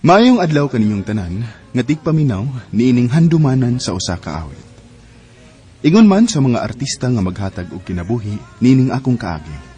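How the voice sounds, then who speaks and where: A man's voice: medium pitch, sing-song, moderate pace, mellow, faint music behind, natural voice. An actor playing a letter sender introducing his life story in a radio drama, with a Cebuano Filipino accent. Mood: earnest. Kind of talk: scripted radio drama narration.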